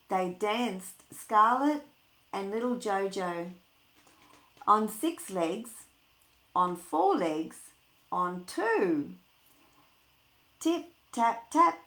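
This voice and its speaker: A woman reading a picture book aloud to children, chatting informally and putting expressive, singsong emphasis on the words. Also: fairly high pitch. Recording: echoey room.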